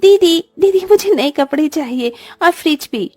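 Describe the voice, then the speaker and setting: A woman's voice: high-pitched, lively intonation, moderate pace, a little mellow, dry recording, clean studio sound, soft background music. A woman voicing an eager, childlike orphan girl who exclaims often, in a cartoon story. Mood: amazed, eager. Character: excitable young orphan sisters in a cartoon. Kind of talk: Hindi cartoon story dialogue.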